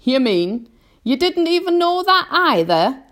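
A woman's voice: fairly low pitch, expressive, unhurried, pitched above the performer's own voice. A woman voicing a rude, rambunctious storybook centipede, brash and mocking. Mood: scornful, mocking.

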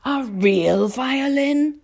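A woman voicing a gentle, courteous old male grasshopper from a storybook, proud and old-fashioned in manner, lecturing without hurry. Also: fairly high pitch, lively intonation, slow pace, husky, pitched above the performer's own voice, full character voice. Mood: delighted, genial.

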